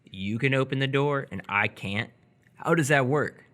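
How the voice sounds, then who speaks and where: A man playing a nervous, incredulous 17-year-old boy in live radio theatre, hesitant and apologetic with sardonic outbursts, in a husky voice. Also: medium pitch, normal speed, fairly bright tone, lightly acted. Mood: wary, curious.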